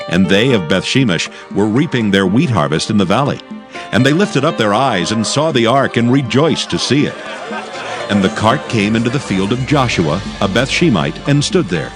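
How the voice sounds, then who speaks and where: A man narrates dramatized scripture in a low voice with wide, animated inflection. His storytelling is solemn and deliberate. Music plays clearly behind him.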